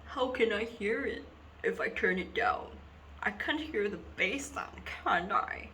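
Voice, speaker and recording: Noisy recording, woman playing a lonely girl, very sharp bright voice, halting confiding delivery, wistful, theatrical drama monologue. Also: mid-range voice. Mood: pleading. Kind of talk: dramatic monologue for an acting reel.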